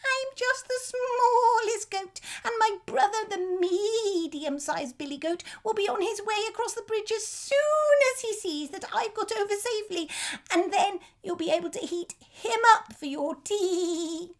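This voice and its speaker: A woman voicing the smallest billy goat, a tiny young male goat who talks in a high, terrified squeak. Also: a little slow.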